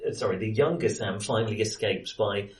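A man's voice: on the low side, brisk. A man co-hosting a casual film discussion, thinking aloud in broken, nitpicking phrases. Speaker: podcast co-host. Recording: roomy echo, far from microphone.

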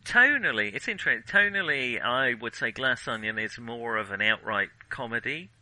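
A man co-hosting a casual film discussion, with a hesitant, halting way of talking that often restarts phrases. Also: medium pitch, animated, bright, forceful tone, no room sound, rough recording. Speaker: film podcast co-host.

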